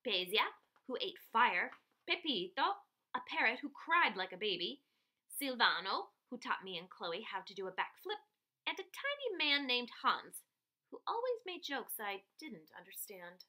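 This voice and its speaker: A woman reading a picture book aloud to children like a teacher, with expressive, dramatic emphasis on key phrases. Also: on the high side, unhurried.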